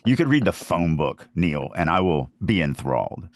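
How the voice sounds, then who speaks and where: A man's voice: low-pitched, sing-song, normal speed, no room sound. A man hosting a podcast, giving writing advice in an informal, opinionated, conversational way with anecdotes. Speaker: podcast host, fantasy author and writing teacher.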